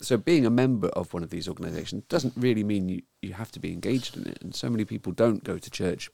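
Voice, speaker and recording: A man hosting a weekly news talk show, speaking in a measured way with frequent ums. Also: fairly low pitch, vocal fry, mellow, slightly rough. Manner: measured, hesitant with many ums. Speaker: podcast host. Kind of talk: casual news discussion.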